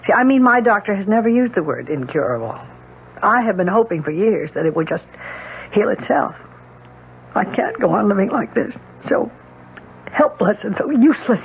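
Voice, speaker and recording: A woman's voice: mid-range voice, big pitch swings, moderate pace, vocal fry. An actress playing an anxious, pleading married woman in a 1970s radio drama, hesitant and earnest. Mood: anguished, desperate. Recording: muffled old radio broadcast, faint hiss.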